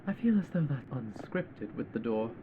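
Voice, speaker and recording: A man giving musing, offhand commentary on a film in a measured, wry way. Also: medium pitch, big pitch swings, phone-quality sound, background noise. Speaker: streamer reacting to an old film.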